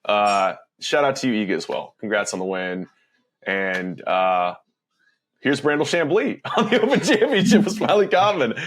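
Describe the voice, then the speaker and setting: A man's voice: fairly low pitch, sing-song, moderate pace, a bit bright, slight background noise. A man co-hosting a podcast with casual, playful banter and mock-announcer delivery. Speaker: podcast co-host.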